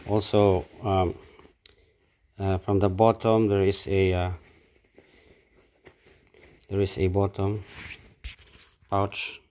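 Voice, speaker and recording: A middle-aged man with a Filipino accent, off camera in a carpeted room, speaking in a halting, casual way with many fillers and restarts as he shows an item to a handheld phone camera. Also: deep voice, little pitch movement, a little mellow. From Philippines. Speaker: product reviewer. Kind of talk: casual unboxing commentary.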